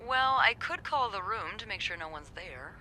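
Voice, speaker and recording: An actress playing a sardonic, playful receptionist in a 1950s hotel mystery game, with a wry, teasing, conspiratorial manner. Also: female voice, medium pitch, expressive, normal speed, sharp tone, a little hiss or hum. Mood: gossipy. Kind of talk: video game cutscene acting.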